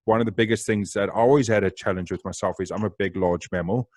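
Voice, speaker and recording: A South African man hosting a podcast, talking loudly, fast and with excitement in casual interview chat. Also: on the low side, a little hiss or hum. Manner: loud, excitable, talks fast. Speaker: podcast host.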